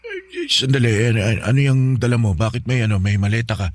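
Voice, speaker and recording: A man's voice: medium pitch, huge pitch swings, quick pace, no room sound. A man playing a flustered middle-aged father who stammers and repeats himself hesitantly in a Filipino radio drama. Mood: bewildered, flustered.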